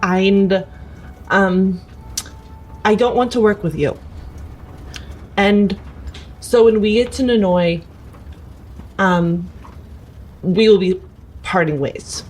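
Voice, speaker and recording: Slow, firm woman role-playing. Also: mid-range voice, a little mellow, a little hiss or hum, poor audio. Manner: firm, deliberate, halting. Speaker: tabletop game player. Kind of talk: improvised in-character role-play. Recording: music playing under the voice.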